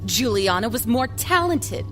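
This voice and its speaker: A woman acts out a resentful grown twin in an audio drama. Her voice is high and swings widely as she presses her grievances with emphatic, wounded insistence. Music plays clearly behind her. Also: big pitch swings, measured pace. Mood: bitter, defensive. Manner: emphatic, aggrieved. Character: bitter, resentful adult twin sister defending herself.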